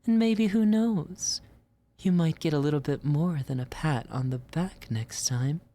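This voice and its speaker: Woman acting as domineering female boss, teasing, condescending, sultry slow drawl, lowish mellow voice, roleplay audio. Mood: teasing, scolding. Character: teasing, domineering female superior scolding her agent.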